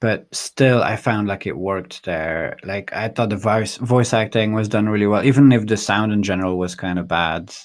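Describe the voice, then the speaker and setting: A man hosting an interview in a casual, conversational way, haltingly thinking aloud. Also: fairly low pitch. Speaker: podcast host, interviewer.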